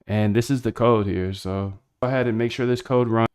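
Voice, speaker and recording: A man's voice: medium pitch, steady tone, a little fast, a little mellow, smooth. A man streaming as he works on his screen, rambling and slangy, talking to chat as he goes. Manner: rambling, slangy, talks to chat while working. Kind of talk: casual screen-share chat.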